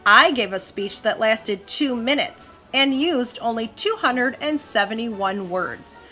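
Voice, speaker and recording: A woman's voice: on the high side, expressive, slow, bright, forceful tone, soft background music. A woman hosting a children's storytime, reading aloud expressively and chatting with questions for young listeners. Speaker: host, mother reading to her child. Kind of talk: read-aloud children's storytime with casual chat.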